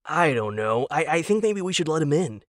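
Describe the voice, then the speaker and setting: A man's voice: fairly high pitch, big pitch swings, normal speed, dry recording, clean studio sound, pitched above the performer's own voice. A man voicing a naive, eager husband in an audio drama, rambling earnestly with wide-eyed enthusiasm. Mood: hesitant, earnest. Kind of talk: audio drama character dialogue.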